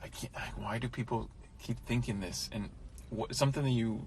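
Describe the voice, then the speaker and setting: A man's voice: mid-range voice, fairly even intonation, a little fast, a little mellow, slight background noise. Laid-back man hosting a car chat. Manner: laid-back, uses slang like "bro". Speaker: driver and host. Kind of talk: casual chat. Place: inside a car.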